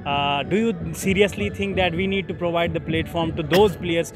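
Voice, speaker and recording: A man asks polite, formal questions in quite a high voice, filling the pauses with many fillers. He speaks outdoors, with clear music playing behind him. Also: fairly even intonation. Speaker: reporter, interviewer. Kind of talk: news interview questions. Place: outdoor stadium grounds. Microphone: handheld mic held toward guest.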